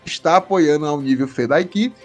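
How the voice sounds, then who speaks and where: A man's voice: a little high, moderate pace, faint music behind. A man hosting a podcast in a casual, chatty way, often repeating himself and checking in with listeners. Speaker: podcast host. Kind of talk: casual podcast chat, explaining supporter tiers.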